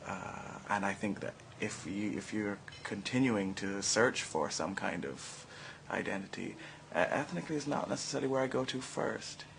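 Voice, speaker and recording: A man from Barbados, an artist, reflecting in a measured, searching way. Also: lowish voice, expressive, vocal fry, fairly bright tone, slight background noise. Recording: faint background ambience.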